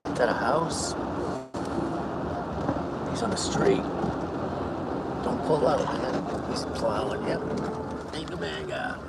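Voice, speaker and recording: A man vlogging from his car in the snow, hoarse, talking in a rambling, matter-of-fact way about his day and his life. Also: medium pitch, very animated, slow, pauses often. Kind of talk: casual talk while driving. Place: inside a car driving through snow. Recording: far from the mic, loud road noise.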